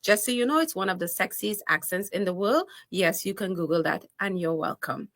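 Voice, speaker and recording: A woman's voice: on the low side, animated, fairly quick, fairly bright tone. A woman from Trinidad and Tobago with a Trinidadian accent, telling personal stories as an interview guest in an emphatic, informal way. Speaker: podcast guest.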